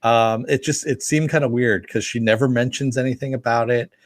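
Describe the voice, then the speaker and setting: A man's voice: medium pitch, steady tone, brisk, no room sound, clean studio sound. A man co-hosting a podcast, chatting casually and reading out listener comments. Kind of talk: casual fan podcast discussion.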